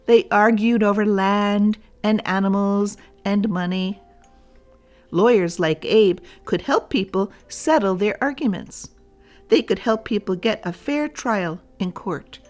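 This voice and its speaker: A woman reading a storybook aloud for children, deliberately and addressed straight to young listeners. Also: medium pitch, darkish tone, faint music behind.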